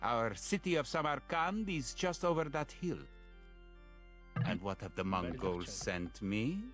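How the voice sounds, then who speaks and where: A man's voice: mid-range voice, animated, unhurried, fairly bright tone. A man playing a haughty, disdainful enemy lord of the Mongols in a dramatic strategy game. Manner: disdainful, pompous. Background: music.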